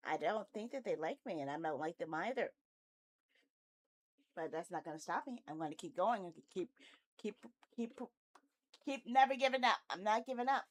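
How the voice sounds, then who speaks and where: A woman with a medium-pitched voice talks casually as a game streamer to viewers. Her delivery is rambling and candid, with a stubborn, grumbling streak. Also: animated, normal speed, long pauses. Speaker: video game livestreamer, host. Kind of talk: casual livestream chat.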